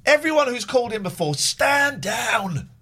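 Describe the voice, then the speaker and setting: A man hosting a call-in show with a husky, bunged-up voice. He talks in a playful, teasing way, and his pitch leaps around a lot. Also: sing-song, normal speed, bright, forceful tone. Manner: playful, jokey, swings into silly low voices. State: blocked nose. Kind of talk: casual banter with callers.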